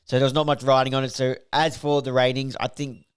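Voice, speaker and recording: A man, slightly croaky from a recovering voice, hosting a casual solo podcast and reeling off football stats with lots of fillers. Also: medium pitch, little pitch movement, fast talker. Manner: rambling, filler-heavy, reels off stats. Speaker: podcast host reviewing football.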